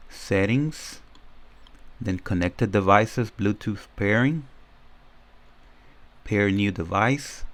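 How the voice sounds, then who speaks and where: A man giving a casual, informal product demo, explaining things step by step as a reviewer. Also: fairly low pitch. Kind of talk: casual unboxing and demo commentary.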